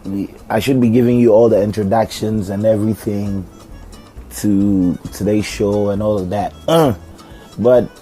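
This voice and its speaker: A man's voice: lowish voice, mellow. A young man hosting his podcast, speaking while he has a cold, chatty and rambling with fillers. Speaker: podcast host. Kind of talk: casual podcast monologue.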